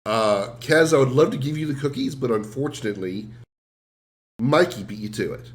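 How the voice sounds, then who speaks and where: A man streaming a game while sick, sounding rough and congested, rambling self-deprecatingly and answering his chat. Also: mid-range voice, expressive, normal speed. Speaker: game livestreamer. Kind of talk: casual livestream chat.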